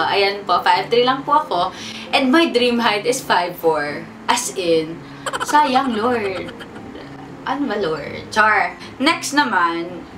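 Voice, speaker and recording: Young Filipino woman vlogger, talkative and playful, mid-pitched, recorded a bit off-mic with audible room noise. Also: fairly bright tone. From Philippines. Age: 20s. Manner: chatty, switching between English and Tagalog, playful. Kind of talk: casual sit-down Q&A chat. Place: at home. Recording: distant mic with background noise.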